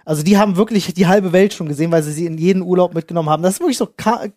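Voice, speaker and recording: A man's voice: sits high, rapid-fire, mellow, no echo. A man's voice recorded very dry, with no room around it. He is a podcast host who chats casually and tells anecdotes in youthful slang.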